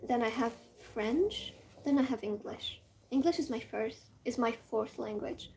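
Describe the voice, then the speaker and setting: A young woman from Morocco with a Moroccan accent, Amazigh her first language, chatting casually and excitably, rambling with many fillers and dropping into whispers. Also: fairly high pitch, measured pace, creaky, slight background noise. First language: Amazigh (Tamazight). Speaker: vlogger.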